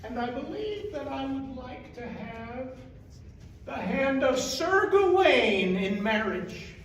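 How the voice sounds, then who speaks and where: A man voicing a mysterious old woman, speaking her lines slowly in a raised voice. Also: very high voice, very animated, slight background noise, voice pushed up, full character voice. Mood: calm, knowing. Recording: echoey room, mic far off.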